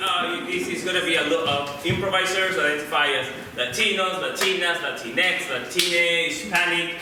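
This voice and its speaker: A man's voice: a little high, noisy background. Echoey man hosting a stage show. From Peru. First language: Spanish. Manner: halting, many restarts and fillers. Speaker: improv show host. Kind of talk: live stage hosting and audience chat. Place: small theater, live audience. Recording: echoey theater, mic from a distance.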